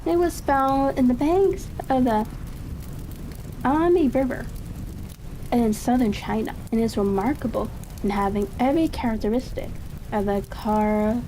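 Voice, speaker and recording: High, mellow-voiced woman reading a story. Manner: unhurried, halting, stumbles and corrects words. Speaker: audiobook reader. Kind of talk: calm ASMR-style reading of a classic mystery story. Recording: steady rain-like crackling ambience behind. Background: fireplace crackle ambience.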